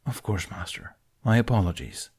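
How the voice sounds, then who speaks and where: A man voicing a weary bearded male Jedi who speaks politely and quietly. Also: lowish voice, normal speed, breathy edge. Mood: subdued. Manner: polite, subdued. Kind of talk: fan audiobook reading.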